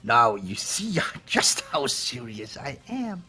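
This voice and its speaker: A performer voicing a sadistic, gleeful robot bear headmaster in a game, with a raspy cartoonish voice and a theatrical, taunting manner. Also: mid-range voice, very animated, slow pace, pushed and bright, faint music behind, full character voice. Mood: gleeful menace. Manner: theatrical, mock-innocent, swooping, taunting. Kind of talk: fan voiced visual novel playthrough.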